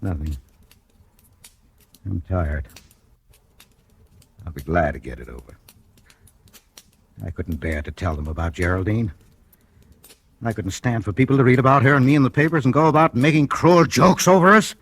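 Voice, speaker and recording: An actor playing a meek, weary husband with a secret in 1940s radio drama style, halting and stammering. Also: male voice, on the low side, slightly rough. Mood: resigned, tender. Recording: old radio recording, music behind.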